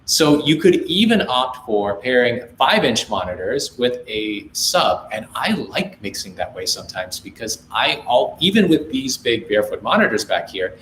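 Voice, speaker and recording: A man's voice: medium pitch, roomy sound, slight background noise, poor audio. A young man from India with an American accent, hosting a casual live chat from his home music studio and giving friendly gear advice. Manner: relaxed, reads viewer comments aloud, then gives friendly gear advice. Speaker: livestream host, music producer.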